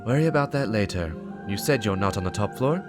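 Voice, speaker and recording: A man's voice: on the low side, animated, brisk, over music, pitched above the performer's own voice, natural voice. A man voicing a tough, determined female warrior commander with a terse manner in a story reading.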